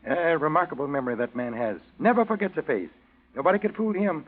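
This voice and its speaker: An actor playing a polite, fussy bank manager in a 1940s radio drama, hesitant and deferential, on a thin old recording. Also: male voice, fairly high pitch, animated, quick pace, narrow, tinny audio. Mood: flustered, deferential. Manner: courteous, hesitant, stammering.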